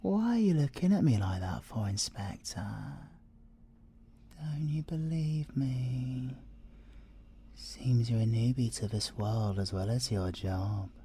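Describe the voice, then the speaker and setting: A British man playing a cocky, flirtatious male siren in an ASMR audio roleplay, breathy and teasing, often slipping into whispers. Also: mid-range voice, animated, slow, mellow, heavily acted. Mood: teasing, smooth. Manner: teasing, theatrical, drops into whispers.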